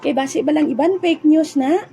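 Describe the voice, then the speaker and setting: A woman in a comedic audio drama playing a sensible young granddaughter who teases and gently scolds. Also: high-pitched, moderate pace, a little hiss or hum, lightly acted. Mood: teasing, chatty.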